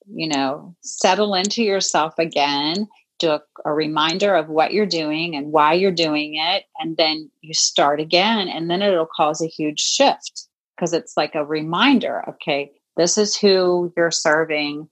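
A woman's voice: deep voice, a little slow, rough recording. A woman in her fifties talking as a guest in a casual interview, chatty and reflective, with many fillers. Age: 50s. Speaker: podcast guest, boutique owner.

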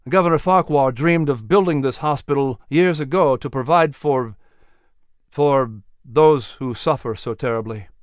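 A troubled colonial gentleman in a historical storybook, acted out by a male narrator. He sounds burdened and grave, with halting phrases. The voice is clear and very dry. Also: mid-range voice, no echo, natural voice. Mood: hesitant, grave.

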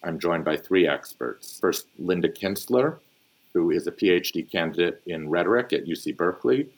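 Low-voiced man hosting a podcast. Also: expressive, normal speed. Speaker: podcast host, newsletter editor. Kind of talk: scripted panel introduction.

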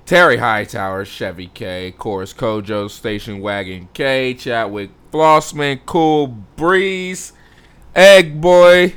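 A man co-hosting a movie podcast, joking playfully, his voice cracking and going hoarse. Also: medium pitch, slow pace, a bit bright. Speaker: podcast co-host. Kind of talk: casual movie chat.

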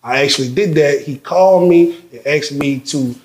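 A man's voice: on the high side, moderate pace, reverberant. A man telling stories as a guest in a casual interview, acting out what others said and often repeating words. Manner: animated storyteller, acts out quotes, repeats words.

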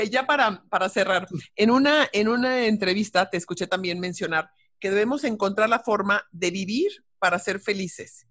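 A woman's voice: mid-range voice, fairly bright tone. A woman with a Mexican accent hosting a podcast interview in a conversational style.

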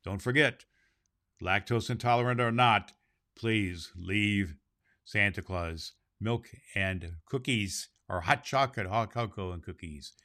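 A man playing a jolly Santa Claus, reading and hosting for children with theatrical, drawn-out phrasing. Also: fairly low pitch, bright, forceful tone, dry recording, studio quality. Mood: kindly, jolly. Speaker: host and story reader.

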